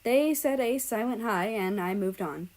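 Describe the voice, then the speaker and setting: A woman reading fiction aloud as an expressive narrator. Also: fairly high pitch, unhurried. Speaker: story narrator.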